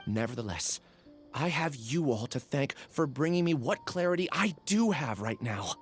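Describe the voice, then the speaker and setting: A man's voice: medium pitch, expressive, normal speed, faint music behind, natural voice. A man voicing a hesitant, apologetic amnesiac researcher. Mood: troubled. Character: confused young male researcher with lost memories. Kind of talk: game voice acting.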